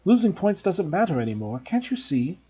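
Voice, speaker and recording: A man's voice: high-pitched, sing-song, fairly quick, mellow, slightly rough, highs cut off, pitched above the performer's own voice, heavily acted. A man voicing a determined young schoolboy who speaks in an urgent, earnest way. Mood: defiant.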